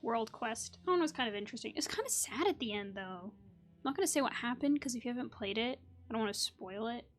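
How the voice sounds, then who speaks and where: Casting: young woman content creator, higher-pitched, playful and chatty, casual playthrough commentary with soft music behind. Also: animated, quick pace.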